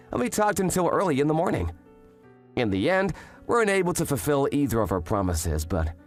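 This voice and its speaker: A man's voice: mid-range voice, close to an everyday voice. A young man playing an earnest office worker in a manga dub, narrating his story and voicing his lines expressively. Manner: animated, expressive, swings between narration and exclamation. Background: music.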